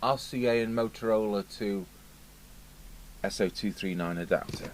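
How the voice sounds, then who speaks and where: A middle-aged British man with a low voice talks through a hands-on technical demonstration. He muses aloud without a script, pausing as he works things out. The workshop recording carries clear background noise. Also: low-quality recording. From United Kingdom. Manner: unscripted, musing aloud, hesitant pauses. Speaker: radio repair technician. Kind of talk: informal hands-on workbench demonstration. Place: indoor radio repair workbench.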